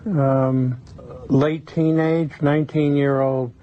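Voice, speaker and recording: A man's voice: fairly high pitch, little pitch movement, takes it slowly. A man hosting a public-access interview show and taking live calls. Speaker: call-in show host. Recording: muffled, noisy old TV recording.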